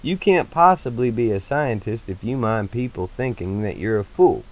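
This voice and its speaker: A man voicing an eccentric, gentle male scientist, earnest and childlike, with slow, emphatic phrasing in a story reading. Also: fairly low pitch, lively intonation, voice pushed up. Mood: earnest, playful.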